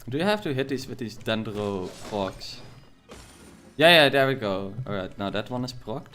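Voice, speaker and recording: A man's voice: medium pitch, lively intonation, moderate pace, a bit bright, faint music behind. A young man with a thick Dutch accent, chatting casually and jokingly with viewers while playing a game, often laughing. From Netherlands. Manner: rambling and jokey, laughs often. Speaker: streamer. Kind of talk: casual chat while gaming.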